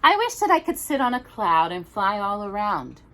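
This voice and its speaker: A woman's voice: mid-range voice, very animated, moderate pace, a bit bright. A woman reading a children's storybook, voicing an imaginative, playful little boy bear cub who exclaims in wide-eyed earnest. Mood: wishful.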